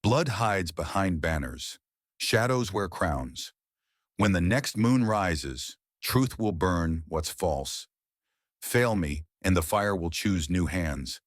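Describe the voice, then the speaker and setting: A man voicing a solemn, commanding goddess in an audiobook, slow and oracular. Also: sits low, expressive, no room sound, clean studio sound, heavily acted. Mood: ominous warning. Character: solemn, commanding goddess speaking through stone.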